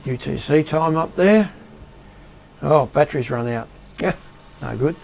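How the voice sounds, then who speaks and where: A man giving an unscripted show-and-tell of his projects as an amateur radio operator, speaking in a halting, matter-of-fact way. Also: fairly high pitch, vocal fry, a little mellow, a little hiss or hum. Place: indoor home radio shack.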